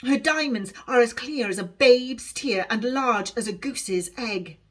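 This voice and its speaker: Woman as Alice, a proud young palace maid. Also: a little high. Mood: boastful. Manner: proud, brisk, self-important. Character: Alice, proud guarded thirteen-year-old royal palace maid.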